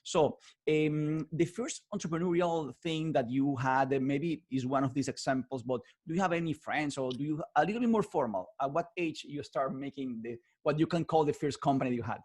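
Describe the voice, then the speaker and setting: Husky, eager interviewer with English. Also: male voice, fairly high pitch, a little fast, a little mellow. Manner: enthusiastic, non-native English phrasing, repeats words. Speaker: interviewer, host. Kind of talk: interview host chat.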